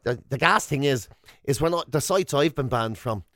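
A man's voice: medium pitch, lively intonation, moderate pace, fairly bright tone, no echo, studio quality. An Irish man co-hosting a radio show, bantering comically with a teasing, mock-accusatory way of talking. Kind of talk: bantering comedic chat. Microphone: close studio microphone.

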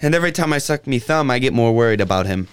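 A man's voice: mid-range voice, expressive, quick pace, clean tone, dry recording, studio quality, lightly acted. A man acting a cowardly, grumbling giant husband in a radio drama, fretful and blustering. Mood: worried.

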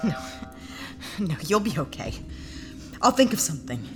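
An actress playing a loyal, headstrong companion, earnest, insistent and emotional, in a sci-fi audio drama. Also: female voice, lowish voice, sing-song, moderate pace, over loud music. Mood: anxious, pleading.

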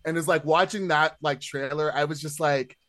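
A man's voice: a little high, steady tone, normal speed, fairly bright tone, no echo. A man in casual podcast conversation, chatty and hesitant, padding his thoughts with lots of filler words. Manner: chatty, hesitant, many "like" hedges. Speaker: podcast panelist.

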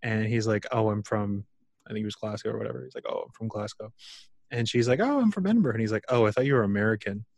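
A man hosting a casual interview, relaxed and laughing, telling loose anecdotes and asking questions. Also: on the low side, fast talker, gravelly, dry recording, clean studio sound. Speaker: podcast host.

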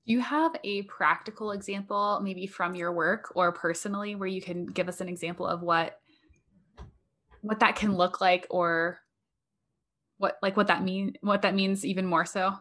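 A woman's voice: medium pitch, fairly even intonation, moderate pace, a bit bright. A woman hosting a podcast interview, upbeat and encouraging as she steers the talk with questions. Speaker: podcast host.

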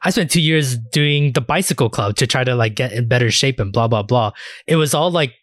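A man's voice: medium pitch, fairly quick, a bit bright, dry recording, studio quality. A man co-hosting a podcast, chatting casually about shows in informal, sweary language. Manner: profane, informal slang. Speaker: podcast co-host. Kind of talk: casual anime discussion chat.

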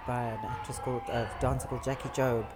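A South African woman in a casual interview about theatre, talking in a reflective, expressive way that sometimes trails into soft asides. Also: very deep voice, fast. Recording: noticeable background noise.

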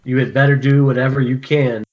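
A man's voice: mid-range voice, little pitch movement, a little fast, close to an everyday voice. A man reading bit parts in a script table read. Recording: muffled, noisy internet call.

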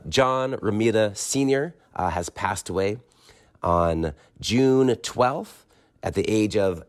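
A man with a hoarse voice from a cold, talking through a podcast segment in a measured way with pauses. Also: low voice. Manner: measured, with frequent pauses and fillers. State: hoarse, losing his voice from a cold. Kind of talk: monologue commentary, partly reading a statement.

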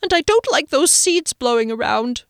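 Woman doing a whiny scared man, fretful, high whimpering voice, lively swoops, dry close sound. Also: normal speed, creaky, no echo, exaggerated voice. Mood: petulant. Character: timid whiny fussy grown man.

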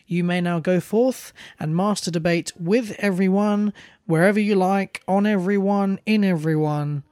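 A man's voice: high voice, unhurried, a little mellow, clear, resonant tone, no room sound. Teasing young British man, dry close mic. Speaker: podcast co-host. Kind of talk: casual chat.

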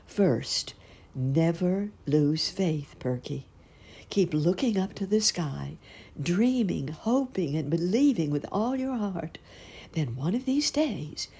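Soft tender mother butterfly, lilting and slow, woman putting her on. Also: sits low, creaky, slightly rough, voice pushed up, full character voice. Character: gentle motherly monarch butterfly.

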